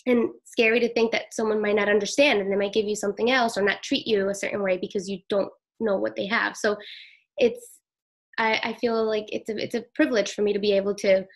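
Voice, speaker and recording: A middle-aged woman from Peru, an emergency room nurse, giving a halting, soft-spoken personal account in an interview. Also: mid-range voice, steady tone.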